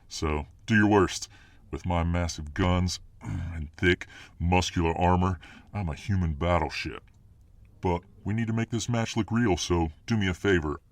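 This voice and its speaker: A very low-pitched muscleman fireman voiced by a male actor for an ASMR audio drama. He is dominant and boastful, with a cocky swagger. He is protective of his lover and quick to bristle. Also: no room sound. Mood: challenging, swaggering. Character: dominant muscular alpha fireman boyfriend, boastful, protective, hot-tempered.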